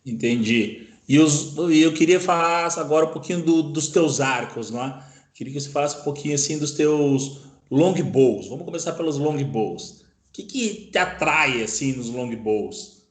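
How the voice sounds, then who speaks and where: A man's voice: on the high side, moderate pace. A man with a Brazilian Portuguese accent interviews a guest in a casual, conversational style. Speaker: interviewer, host. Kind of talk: casual chat. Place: indoor room, video call. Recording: echoey room on a video call.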